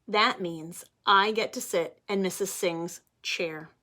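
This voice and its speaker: A woman's voice: on the low side, takes it slowly. A woman teacher reading a picture book aloud to children, stopping to ask them questions. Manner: animated, addresses children directly. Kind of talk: read-aloud storytime for children.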